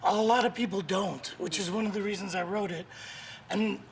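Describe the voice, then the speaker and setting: A hoarse man with laryngitis, a guest author and coach talking in an upbeat, emphatic, motivational way on a morning show. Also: high voice, fairly even intonation, normal speed, a little hiss or hum.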